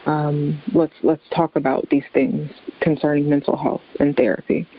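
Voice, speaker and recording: A woman's voice: deep voice, little pitch movement, moderate pace, slight background noise. American woman explaining, slightly dull lo-fi audio. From southeastern Virginia, USA. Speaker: interview guest, therapist. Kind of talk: conversational interview answers.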